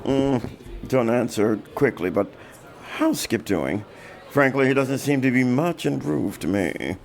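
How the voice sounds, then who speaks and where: Woman acting a droll, unhurried, teasing officer. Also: a bass voice, lively intonation, creaky, a little mellow, full character voice. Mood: wry, teasing. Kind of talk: scripted sci-fi audio drama.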